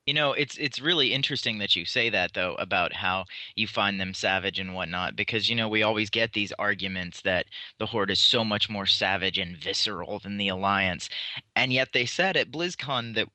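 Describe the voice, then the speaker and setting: Man, very forceful bright tone, teasing, casual debate, dry sound. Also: lowish voice, moderate pace, no room sound.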